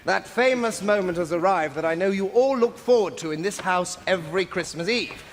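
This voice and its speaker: Genial high-voiced party host. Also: male voice, moderate pace, slight background noise. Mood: grandly festive. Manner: jovial, speechifying. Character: genial, good-humored nephew hosting a Christmas party. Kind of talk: radio drama acting. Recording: hall reverb, crowd behind.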